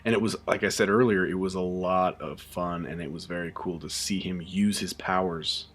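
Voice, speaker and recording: Casual male streamer, deep voice, loose chatty delivery with fillers. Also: moderate pace. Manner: relaxed, chatty, many fillers and restarts. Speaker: YouTube host reacting to a show. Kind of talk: casual live reaction chat.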